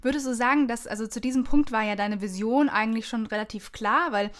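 A woman's voice: fairly high pitch, brisk. A woman hosting a podcast interview in a conversational style, reflecting back and asking questions.

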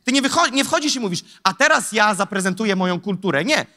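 A man in his thirties preaches with a handheld mic, animated and rhetorical, tossing lively asides to the congregation. His voice sits high for a man and comes across sharp and bright. It rings out with the long reverb of a large hall. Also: fast. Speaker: pastor. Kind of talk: sermon. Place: large church stage in front of an audience.

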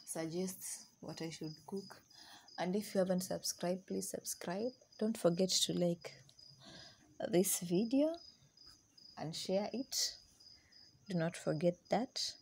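Young woman, slightly low voice, casual cooking vlog, home kitchen, camera on the counter. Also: slow pace, creaky, pauses often, a little mellow, breathy edge. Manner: chatty, switches between English and Swahili. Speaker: cooking vlogger. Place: small home kitchen indoors. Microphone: camera on counter, medium distance.

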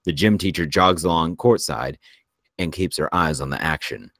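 A man's voice: a bass voice, normal speed, fairly bright tone, no echo, studio quality. A man narrating stage directions from a script in a plain reading style.

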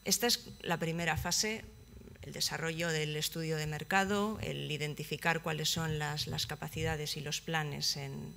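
A young woman giving a conference presentation, pausing often with fillers and restarting phrases, seated at a table in a conference hall. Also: deep voice, steady tone, gravelly, fairly bright tone, slight background noise. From Basque Country, Spain. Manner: hesitant, many fillers and restarts. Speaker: project manager presenting at a conference.

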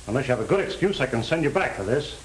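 An actor playing a stern but fair middle-aged parole officer in a 1930s crime film. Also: male voice, medium pitch, sing-song, racing, natural voice. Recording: roomy, hissy old film soundtrack.

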